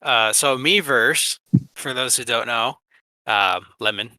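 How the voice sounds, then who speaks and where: A man co-hosts a casual podcast in a very bright, forward voice. He speaks slowly and haltingly, sounding easily amused. The recording is close and dry. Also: mid-range voice, no echo, poor audio. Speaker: podcast co-host. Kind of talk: casual chat.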